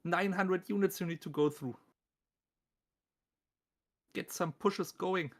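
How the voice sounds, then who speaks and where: A man's voice: high-pitched, takes it slowly. A man with a thick German accent doing live esports commentary on a game, casual, joking and self-deprecating as he talks to his viewers.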